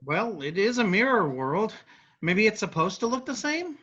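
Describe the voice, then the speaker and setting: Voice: medium pitch, very animated, normal speed, a bit bright. An actor playing a cautious, dutiful, anxious big brother in a staged fantasy play reading. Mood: relieved. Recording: echoey room, dull lo-fi sound.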